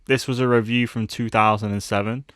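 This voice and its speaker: A man's voice: mid-range voice, steady tone, brisk, a bit bright, dry recording, clean studio sound. A man hosting a casual podcast about films, talking in a chatty, jokey, bantering way. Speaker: podcast host. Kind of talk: casual film discussion podcast.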